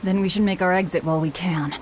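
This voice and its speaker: A woman's voice: lowish voice, fairly even intonation, fast, a little mellow, soft background music, natural voice. An actress playing a cool, guarded adventurer woman in a fantasy video game. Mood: guarded, brisk. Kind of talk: game voice acting.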